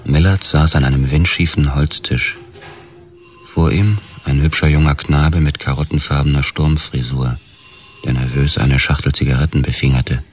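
An actor playing a brooding police detective who narrates his case in a measured, world-weary way in a radio drama. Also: extremely low pitch, steady tone. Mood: watchful. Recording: loud music under the voice.

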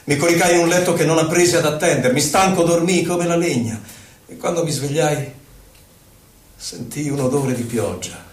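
An actor playing an impassioned, solemn poet who recalls his life in theatrical, declamatory verse with measured phrasing. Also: fairly high pitch, close to an everyday voice. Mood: somber, haunted. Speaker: actor in a poetic theatre piece for radio. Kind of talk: dramatic poetry recital. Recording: roomy echo, distant mic, violin music. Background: violin music.

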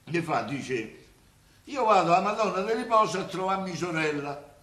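An actor in a radio play voicing a dazed, plain-spoken man with a Roman accent who tells his story haltingly. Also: high-pitched, lively intonation, a bit bright. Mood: plain recounting. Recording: echoey, faintly noisy room recording.

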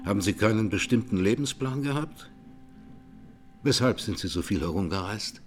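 An actor playing a methodical presiding judge in a radio courtroom drama, questioning formally and insistently. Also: male voice, lowish voice, lively intonation, moderate pace, soft background music, natural voice. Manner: formal, terse, probing.

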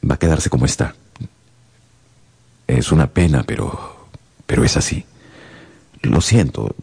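Sombre male doctor, radio play, extremely low gravelly voice, slow, solemn. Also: animated, warm tone, heavily acted. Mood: grave, regretful. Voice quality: gravelly, husky. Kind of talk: Spanish-language radio drama acting.

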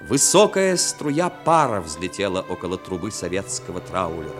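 A man narrating a 1960s radio play as a solemn, expressive storyteller. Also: low-pitched, normal speed. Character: solemn storyteller of a seaside tale. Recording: 1960s radio recording with music. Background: music.

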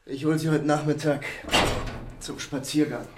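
Male actor as officious East German jailer, fairly high voice, curt, echoey room, music behind. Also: level tone, normal speed, lightly acted. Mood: curt, official. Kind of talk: radio drama acting.

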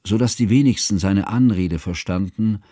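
A man playing an earnest, fussy scholarly friend, narrating a literary radio drama in a measured, formal way. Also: lowish voice, mellow, dry recording, clean studio sound, close to an everyday voice.

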